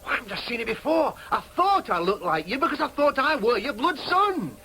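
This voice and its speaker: Worked-up yorkshire son in a radio play, high pitched, declaiming, bit of noise on the recording. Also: male voice, big pitch swings, a little fast, pushed and bright, exaggerated voice. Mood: insistent, agitated. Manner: insistent, repetitive, declamatory. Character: agitated, obsessive adopted son hungry for his true identity. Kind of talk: broadcast radio drama acting.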